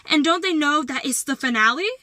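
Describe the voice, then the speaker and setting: A woman performing slam poetry as a poet, with dramatic, deliberate delivery and emphatic phrasing. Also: sits high, expressive, pushed and bright, dry recording, studio quality.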